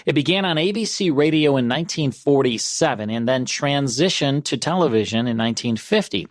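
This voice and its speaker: Casting: male host for an old-time radio showcase, medium pitch, easy orderly presenting, dry studio sound. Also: expressive, a little fast, dry recording. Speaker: classic radio show host.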